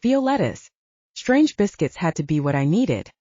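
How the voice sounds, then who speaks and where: A woman narrating a first-person audiobook in a lively storytelling manner, lightly voicing the dialogue. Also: deep voice, moderate pace, no echo, muffled recording.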